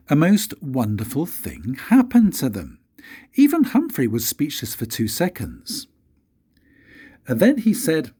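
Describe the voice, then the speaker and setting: Older man, grandfatherly storyteller, mellow husky voice, lively pitch swings, dramatic pauses, children's storybook narration. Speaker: grandfather reading to his grandchildren.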